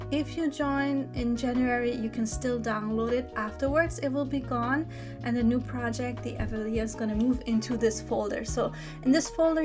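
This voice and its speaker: A woman with a German accent, a native German speaker, explaining a website step by step in a patient, casual way with many small fillers and check-ins. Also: a little high. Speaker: bag pattern designer. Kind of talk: screen-recorded website walkthrough. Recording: voice-over with music behind. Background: background music.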